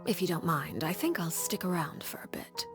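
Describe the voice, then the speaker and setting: Poised, commanding noblewoman, low, measured and cool, soft game music behind. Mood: courteous. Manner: calm, measured, formal. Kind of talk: video game voice acting.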